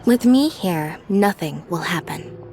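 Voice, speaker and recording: Deadpan young assistant girl. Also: lowish voice, measured pace, music in the background. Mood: calm, resolved. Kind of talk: video game voice acting.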